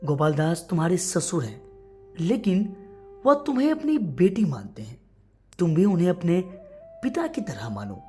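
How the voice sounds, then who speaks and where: A woman's voice: fairly low pitch, very animated, normal speed, dark tone, soft background music. A woman storyteller voicing a worried, suspicious elderly mother-in-law in a grave, earnest, admonishing manner.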